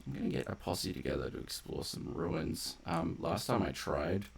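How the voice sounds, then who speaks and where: A man's voice: deep voice, huge pitch swings, moderate pace, gravelly, breathy edge. A man giving casual, unscripted gameplay commentary, rambling off on tangents as he explains game mechanics in detail. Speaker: game streamer and narrator of a playthrough.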